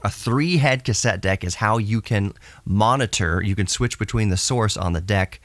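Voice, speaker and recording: Low-pitched man, dry casual podcast monologue. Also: normal speed, fairly bright tone, no echo. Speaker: podcast host. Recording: recorded to cassette with tape hiss.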